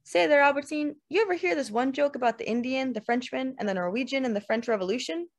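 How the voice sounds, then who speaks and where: A woman's voice: sits high, fast talker, voice pushed up. A woman voicing a laid-back young man telling a joke conversationally in an audiobook. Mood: joking. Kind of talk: dramatized literary audiobook dialogue.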